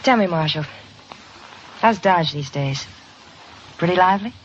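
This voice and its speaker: Brash, animated frontier wife. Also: female voice, lowish voice, a little slow. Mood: flirtatious, restless. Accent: American. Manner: brash, folksy, evasive. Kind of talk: old-time radio western acting. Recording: dull old radio recording, faint noise.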